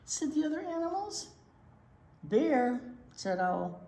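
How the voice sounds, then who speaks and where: A woman reading a picture book to young children in an expressive, chatty way, often asking them questions. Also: high-pitched, slow. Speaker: children's library teacher reading aloud. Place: indoor room at a library. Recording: roomy echo, noticeable background noise.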